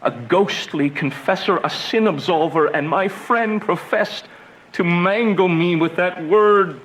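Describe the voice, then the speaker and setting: A man's voice: high voice, a bit bright, slight background noise, rough recording. A man playing a passionate, despairing young banished lover in a Shakespearean radio drama, with anguished, theatrical delivery. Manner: anguished, theatrical, slow declamation.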